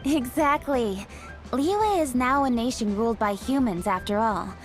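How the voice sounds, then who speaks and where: An actress playing an earnest young official in a video game, speaking in a measured, explanatory way. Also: female voice, a little high, animated, faint music behind. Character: young official woman, earnest, proud of tradition.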